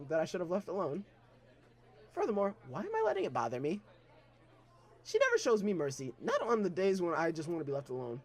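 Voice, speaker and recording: A man's voice: high voice, wildly swooping pitch, brisk, pauses often. A young man reading a novel aloud in first person, breaking off for irreverent, joking asides. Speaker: reader of a novel.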